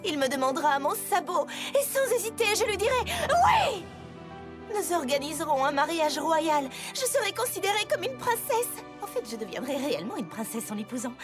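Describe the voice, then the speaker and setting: A vain, elegant female cartoon pony, speaks with affected melodrama. The voice is extremely high and swoops widely, with music underneath. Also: very animated, moderate pace. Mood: swooning, dreamy. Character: vain, theatrical, elegant female unicorn pony fashion designer. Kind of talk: animated cartoon dub. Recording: music behind the voice.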